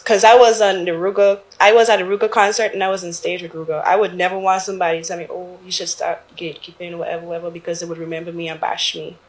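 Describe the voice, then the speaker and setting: A woman's voice: fairly low pitch, level tone, very fast, hardly any pauses, low-quality recording. A Guyanese woman chatting casually as a guest, informal and slangy. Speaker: talk show guest. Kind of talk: casual chat.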